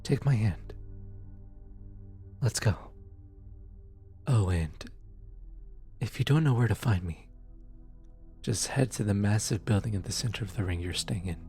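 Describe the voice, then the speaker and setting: A performer playing a gentle demon receptionist from hell in an ASMR roleplay, speaking in a reassuring, hesitant manner. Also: low voice, animated, measured pace, pauses often. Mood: warm, lightly amused. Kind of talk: ASMR roleplay, scripted comfort acting. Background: music.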